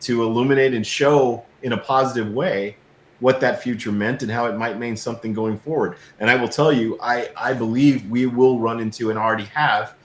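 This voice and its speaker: A man's voice: mid-range voice, fairly even intonation, a little fast. A man speaking as a guest in a casual interview, earnest, often repeating words as he starts his sentences. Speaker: guest filmmaker. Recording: roomy echo, background noise.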